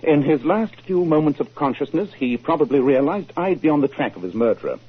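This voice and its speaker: An actor playing a self-assured English detective in a 1940s radio drama, deliberate, authoritative and incisive. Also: male voice, on the high side, lively intonation, a little hiss or hum, lightly acted. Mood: explaining, assured. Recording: muffled 1940s radio broadcast recording.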